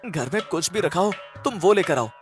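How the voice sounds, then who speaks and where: A man's voice: high-pitched, lively intonation, normal speed, soft background music. A man voicing a kind, cautious poor village husband in a cartoon story, speaking earnestly and emphatically. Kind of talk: Hindi cartoon story dialogue.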